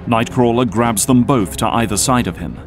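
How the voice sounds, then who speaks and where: A man's voice: mid-range voice, moderate pace, music in the background. A man narrating an action audio drama from a script.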